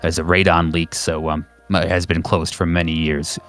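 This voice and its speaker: Man voicing a low, measured covert dispatcher. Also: level tone, fairly bright tone, soft background music. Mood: calm, businesslike. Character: calm, businesslike male covert agency dispatcher on the phone.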